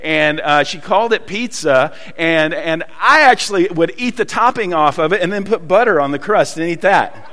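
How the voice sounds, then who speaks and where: A man with a Southern US accent preaching a sermon, telling folksy stories with many pauses and fillers. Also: a little high, animated, pushed and bright, big-room sound. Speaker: pastor. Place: church hall.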